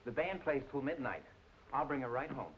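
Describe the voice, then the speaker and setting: An actor playing an affable, earnest young trumpet player and suitor in an old-time radio drama, polite and easygoing. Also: male voice, medium pitch, sing-song, moderate pace, lightly acted. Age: young adult. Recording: muffled hissy old radio recording.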